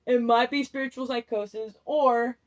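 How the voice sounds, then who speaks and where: A woman's voice: on the high side, fairly even intonation, moderate pace. A young woman whose first language is Spanish, doing a rambling, candid tarot reading, often restarting her sentences and laughing at herself. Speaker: tarot vlogger. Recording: dull lo-fi sound.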